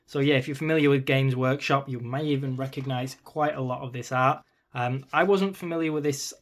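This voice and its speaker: A man hosting his channel, chatting casually while flicking through an art book, his voice a little strained from a long day of talking, with frequent ums and asides. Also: medium pitch. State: voice slightly strained, losing it.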